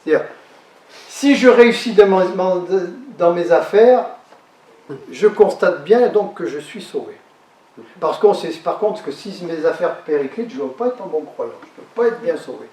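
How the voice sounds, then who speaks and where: A man giving a lecture to an audience, pausing and repeating words as he explains. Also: high-pitched, animated, darkish tone. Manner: halting, repeats words and restarts while explaining. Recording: echoey hall, speaker far from the mic.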